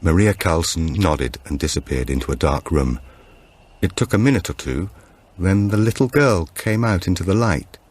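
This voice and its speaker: A man reading a suspense thriller as an audiobook narrator, in a measured, scripted way. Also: low-pitched. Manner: measured, acts out dialogue.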